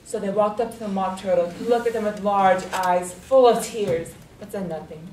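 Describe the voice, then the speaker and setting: Female narrator, dramatic storytelling, medium pitch, roomy distant recording, faint noise. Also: normal speed, mellow. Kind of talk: dramatic scripted storytelling for readers' theatre.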